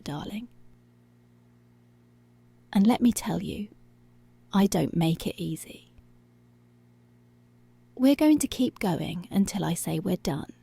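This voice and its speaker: A woman playing a dominant, seductive policewoman in an intimate ASMR roleplay, breathy and deliberate, often dropping to a whisper. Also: mid-range voice, dark tone, no room sound, heavily acted. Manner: slow, deliberate, commanding.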